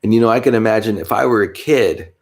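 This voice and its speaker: A man's voice: fairly low pitch, quick pace, a little mellow. A man vlogging in a rambling, casual way, congested with a stuffy nose, with many fillers and small chuckles.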